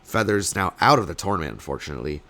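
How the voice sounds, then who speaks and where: Low-voiced male caster losing his voice. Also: very fast, creaky. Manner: rambling, hedging, many fillers, bursts of excitement. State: losing his voice, a little sick. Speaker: tournament caster. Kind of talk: solo play-by-play commentary.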